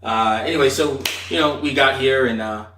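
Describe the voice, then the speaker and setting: A man from Nigeria whose first language is Igbo talks as a podcast guest in a roomy, echoing sound, some way from the mic. He has a middling pitch and tells personal stories in a casual, talkative, emphatic way. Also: normal speed, pushed and bright, slight background noise. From Nigeria, moved to the US aged eight. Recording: roomy echo, distant from mic.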